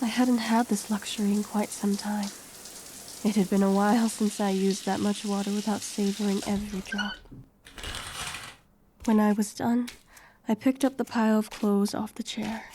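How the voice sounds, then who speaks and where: A young woman playing a wary, homeless heroine in her twenties, telling her story softly and with measure in an audio drama. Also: mid-range voice, slight background noise, natural voice. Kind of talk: first-person audio drama narration and dialogue.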